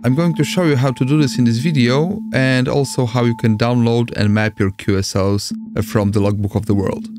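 Male tutorial host, Polish accent, medium pitch, instructional screen voice-over with prominent backing music. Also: steady tone, a little fast, hardly any pauses. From Poland. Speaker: amateur radio tutorial host. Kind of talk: step-by-step screen tutorial narration.

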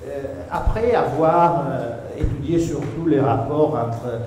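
A man's voice: fairly high pitch, slow, a little mellow. A man in his thirties whose first language is Italian, chairing a conference session and introducing a speaker with many hesitations, on a table mic in a seminar room. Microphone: table gooseneck mic. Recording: echoey room with noise.

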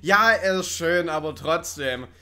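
A man's voice: a little high, expressive, a little slow, sharp tone, clear, resonant tone. A man giving casual let's play commentary in a wry, sarcastic, joking way.

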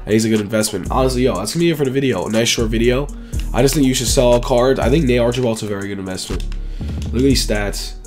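Raspy young man, sick with flu. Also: mid-range voice, fast, darkish tone, faint music behind. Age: 20s. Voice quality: raspy, dry voice. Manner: slangy, informal, repetitive emphasis. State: sick with flu, sore throat, coughing. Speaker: gaming YouTuber, host. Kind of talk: casual gaming video commentary.

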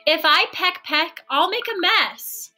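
A young woman giving an expressive, sing-song read-aloud of a children's storybook, indoors at a computer. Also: extremely high pitch, slow, faint music behind. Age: young adult. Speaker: storybook reader.

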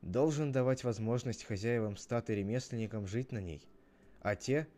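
A man narrating a scripted parable as a storyteller, in a measured, expressive manner. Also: mid-range voice.

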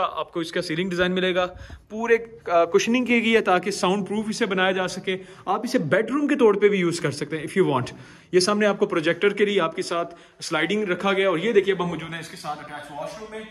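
A man's voice: high-pitched, a bit bright. A man with a Pakistani Urdu accent walking a camera through an empty house, narrating a property tour in an upbeat salesman's patter with English phrases mixed in. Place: empty house, large tiled rooms indoors. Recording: echoey empty rooms.

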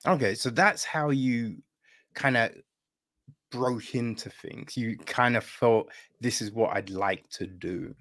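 A man's voice: mid-range voice, takes it slowly, a bit bright. A middle-aged or older man hosting a casual interview over a video call. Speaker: podcast host. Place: room at home, on a video call.

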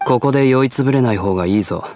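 A man playing a cold, brooding young gentleman in an anime game, curt and deadpan. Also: medium pitch, rapid-fire, soft background music, natural voice.

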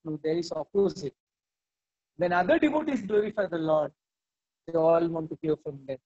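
A man, reading verses aloud and then explaining them with animated emphasis in a scripture class held over a video call. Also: a little high, normal speed. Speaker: class speaker. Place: indoor room at home. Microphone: video call, laptop or phone camera. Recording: dull lo-fi video call audio.

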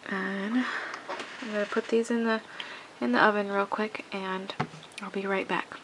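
A sniffly woman shows her craft work at a home table, and her slow voice has a gravelly edge. She rambles and restarts often, with a faint hiss under the camera mic. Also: mid-range voice, fairly even intonation. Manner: rambling, halting, with many ums and restarts. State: sniffly with a cold. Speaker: craft tutorial maker. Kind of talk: casual hands-on tutorial.

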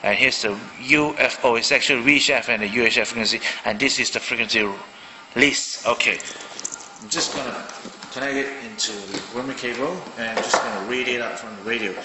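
A man's voice: mid-range voice, steady tone, fairly quick, in a large hall, noisy background. A man from Malaysia casually demonstrating a radio at his desk, thinking aloud with many fillers. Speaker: hobbyist radio reviewer. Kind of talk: casual hands-on product demo. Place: indoor room at a desk.

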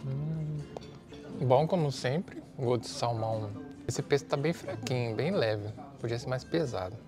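A man's voice: medium pitch, unhurried, music in the background. A young man, casually tasting and commenting on dishes in a restaurant, on a lapel mic. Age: young adult. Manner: relaxed, tasting aloud. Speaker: vlogger's husband. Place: restaurant terrace, indoors.

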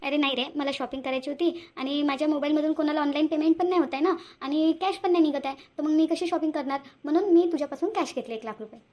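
Woman doing a sneaky young cartoon lady, varhadi marathi, high voice, talks fast, wheedles and repeats. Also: a little mellow, lightly acted. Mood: sweetly persuasive. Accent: Varhadi Marathi, Vidarbha. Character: scheming young woman sweet-talking for money. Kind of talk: amateur cartoon voice-over.

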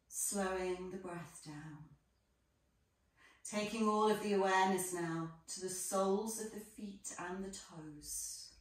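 A woman yoga teacher leading a guided relaxation with gentle, measured phrases and pauses between them. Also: lowish voice. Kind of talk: guided relaxation, soothing instruction. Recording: echoey room, distant microphone.

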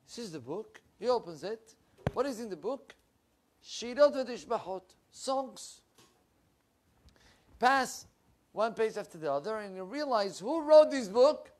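A young man, a rabbi giving an animated Torah class, his voice swinging between loud bursts and low asides. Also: unhurried, long pauses. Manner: animated, with big swings in pitch and loudness and lively hand gestures. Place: wood-panelled room with bookshelves. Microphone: lapel.

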